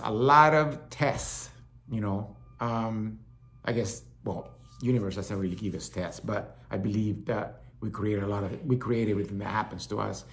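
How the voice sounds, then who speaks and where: A man's voice: fairly low pitch, a bit bright. A middle-aged man from El Salvador with a Salvadoran Spanish accent, hosting a talk show in a casual, rambling monologue that often repeats words. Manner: rambling, repeats words, acts out quoted voices.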